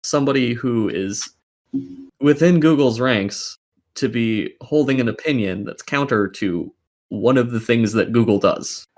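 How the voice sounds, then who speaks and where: A man's voice: medium pitch, a little slow, darkish tone. A man co-hosting a casual podcast, giving opinionated commentary in a blunt, sweary, argumentative way. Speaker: podcast co-host.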